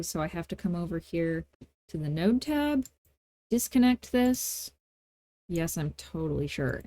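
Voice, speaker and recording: Low, mellow-voiced woman giving a casual tutorial. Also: no room sound. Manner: playful, self-deprecating asides, folksy informal grammar. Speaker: tutorial host. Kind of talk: casual, unscripted software tutorial narration.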